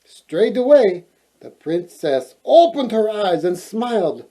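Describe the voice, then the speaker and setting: A man reading a children's fairy tale aloud in a playful, expressive way, adding joking asides. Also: sits high, slow pace, rough recording. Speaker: storyteller, host.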